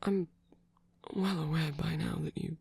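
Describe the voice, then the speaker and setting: A performer voicing a gentle, slightly grumpy, possessive supernatural lover in an ASMR romance roleplay, speaking softly and tenderly with hesitant pauses and frequent whispering. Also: medium pitch, steady tone, dry recording, exaggerated voice. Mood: gentle, concerned. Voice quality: soft, breathy, often whispered. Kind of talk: ASMR romance roleplay, intimate one-sided dialogue.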